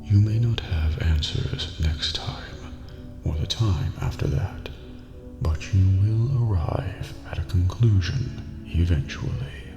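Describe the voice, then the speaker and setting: Eerie, courteous elderly prison master for game ASMR: breathy, measured, unhurried, muffled in a long echo. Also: male voice, heavily acted. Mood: solemn, soothing. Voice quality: deep, breathy, creaky near-whisper. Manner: slow, formal, measured, cryptic. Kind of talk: scripted ASMR roleplay based on a video game. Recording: reverberant hall, muffled, music behind. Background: music.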